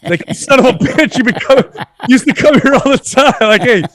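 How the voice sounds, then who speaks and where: A man in his forties from San Jose, California, a fitness trainer guesting on a podcast, telling easygoing stories and chiming in with quick agreement. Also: extremely high pitch, animated, a bit bright, no echo, clean studio sound. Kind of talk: casual chat.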